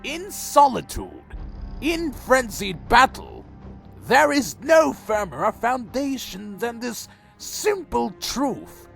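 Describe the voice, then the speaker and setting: Ancient vampire leader, bitter n menacing, swings all over in pitch, gravelly, audio drama w faint music. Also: male voice, big pitch swings, fairly bright tone, heavily acted. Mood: imperious. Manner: slow, theatrical, brooding and menacing. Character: proud, bitter ancient vampire clan leader, contemptuous of humans. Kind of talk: dark fantasy audio drama monologue.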